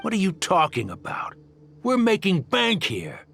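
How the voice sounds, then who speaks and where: An actor playing a greedy, callous arms dealer in a dramatic video game, smug and cynical, persuasive and dismissive with veiled threats. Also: male voice, high-pitched, wildly swooping pitch, unhurried, vocal fry, a bit bright, soft background music, full character voice.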